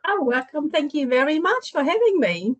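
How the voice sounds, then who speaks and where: A woman's voice: high voice, very animated, normal speed. Middle-aged woman with German accent. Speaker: podcast guest, family constellation facilitator. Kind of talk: casual chat, personal storytelling.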